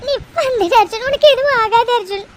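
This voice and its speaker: Woman acting very high-pitched, tearful, pleading young woman. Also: brisk. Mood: desperate, tearful devotion. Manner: tearful, pleading, repetitive begging. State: crying. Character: distraught, devoted young woman pleading with her injured beloved. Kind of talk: melodramatic Tamil audio drama acting. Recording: noisy recording with clicks.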